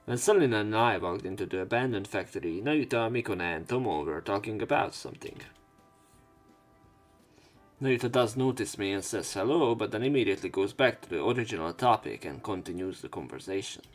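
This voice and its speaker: A man's voice: on the low side, expressive, a little fast, soft background music. Casual young man narrating a game. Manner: casual and profane, with wry asides. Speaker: let's play narrator. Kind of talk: reading game text aloud with casual commentary.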